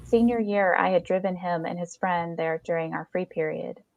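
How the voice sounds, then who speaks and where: A woman's voice: on the low side, normal speed, vocal fry, no room sound. A woman reading a first-person short story aloud as scripted narration, in a dull lo-fi recording.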